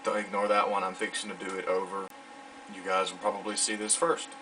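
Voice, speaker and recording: Low-voiced young man, distant mic. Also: level tone, a little fast, background noise. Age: late teens. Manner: rambling, self-deprecating, informal. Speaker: vlogger. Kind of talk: casual vlog chat. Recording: distant mic, clear music behind.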